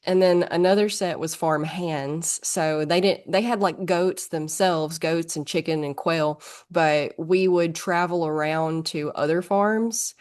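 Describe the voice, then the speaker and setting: A woman from northern Alabama with a thick Southern accent, a podcast guest telling stories in a quick, chatty way. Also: low-pitched, steady tone, darkish tone. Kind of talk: casual conversation.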